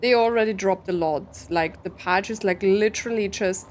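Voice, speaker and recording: A woman's voice: medium pitch, moderate pace, a little hiss or hum. A woman giving casual, informal playthrough commentary as a game streamer, thinking aloud as she goes. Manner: chatty, informal, musing aloud. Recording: soft game music behind.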